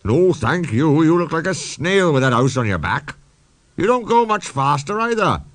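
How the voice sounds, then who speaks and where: A man's voice: on the high side, very animated, moderate pace, a bit bright, dry recording, voice pushed up. A man voicing a grumpy, stubborn old male steam engine in a storybook, indignant and plain-spoken. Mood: scornful.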